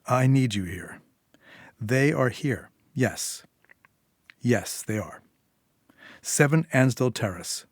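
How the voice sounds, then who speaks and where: A man's voice: medium pitch, breathy edge, no room sound, clean studio sound. A man voicing a desperate but controlled mother in a story reading, clipped and insistent.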